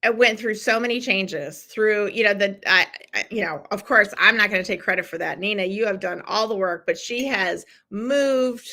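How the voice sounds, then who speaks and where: A middle-aged woman hosting a live-stream challenge, chatting warmly with viewers and encouraging them, with lots of ums and restarts. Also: mid-range voice, normal speed, few pauses. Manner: chatty and encouraging, with many fillers. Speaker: podcast host running a live challenge. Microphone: streaming from a computer.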